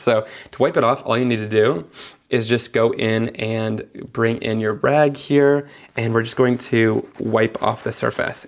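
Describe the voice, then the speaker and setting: A man's voice: mid-range voice, gravelly. A young man, sniffly after being sick, chatting enthusiastically as he narrates each step of a live card-making tutorial.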